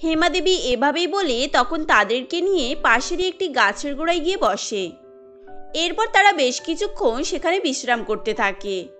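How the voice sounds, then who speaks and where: High, lively woman storyteller. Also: moderate pace, soft background music. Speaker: narrator. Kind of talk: scripted cartoon storytelling.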